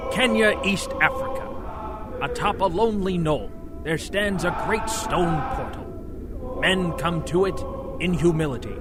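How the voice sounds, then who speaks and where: A man's voice: sits high, unhurried, loud music behind the voice. A man narrating an audio drama in a theatrical, florid storytelling style.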